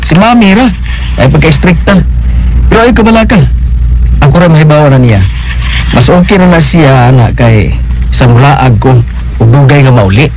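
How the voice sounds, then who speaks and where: A man's voice: a little high, animated, moderate pace, creaky, pauses often, noisy background. A man acting a confident young man in a Filipino radio drama, speaking conversationally with a Bisaya accent. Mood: confiding.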